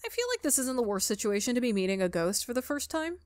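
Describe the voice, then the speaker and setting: A woman's voice: fairly high pitch, sing-song, quick pace, no room sound, clean studio sound. A woman reading a visual novel aloud as a player, expressively, with wry and amused casual asides. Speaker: gamer doing a playthrough, reading aloud.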